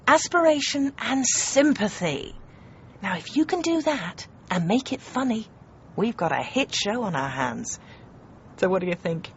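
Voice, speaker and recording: Swooping, patronising British producer. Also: female voice, a little high, big pitch swings, measured pace, lo-fi sound, a little hiss or hum. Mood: coaxing. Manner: emphatic, blunt, condescending. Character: brisk, patronising female TV producer, blunt and cajoling. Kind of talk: radio comedy drama acting.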